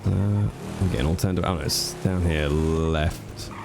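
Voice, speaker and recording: A man's voice: sits low, fairly even intonation, measured pace, gravelly, music in the background. A man streaming a roleplay game, chatting to viewers with a stuffy nose from a cold, rambling and swearing freely. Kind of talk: casual chat to viewers while playing.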